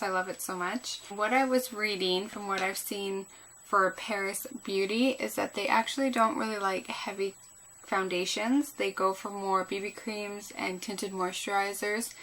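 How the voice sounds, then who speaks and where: A woman's voice: medium pitch, sharp tone, slight background noise, low-quality recording. A woman chatting casually through a makeup tutorial as a beauty vlogger, sounding a little groggy and tired. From Canada. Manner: chatty, rambling.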